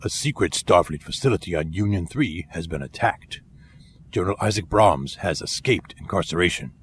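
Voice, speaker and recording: A grave alien warrior officer acted by a man with a fairly low voice. He is formal and deliberate, honorable yet secretive, in a sci-fi audio drama. A light noisy ambience sits behind him. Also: lively intonation.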